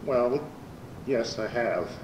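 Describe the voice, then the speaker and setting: A man's voice: mid-range voice, slow pace, recorded from a distance, lo-fi sound, lightly acted. A man playing a mild, earnest bovine space adventurer in an amateur radio comedy, speaking plainly and matter-of-factly. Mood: defensive. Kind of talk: amateur old-time radio comedy acting. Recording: roomy amateur recording, faint noise.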